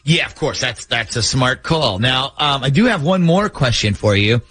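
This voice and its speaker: A man's voice: medium pitch, animated, moderate pace, a bit bright, husky, no room sound. A man hosting a morning radio show, talking through a prank call segment.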